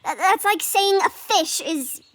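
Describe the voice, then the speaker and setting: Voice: extremely high pitch, lively intonation, unhurried, fairly bright tone, full character voice. A performer voicing a cautious, anxious young girl flying squirrel in a children's audio drama. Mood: defensive, glum.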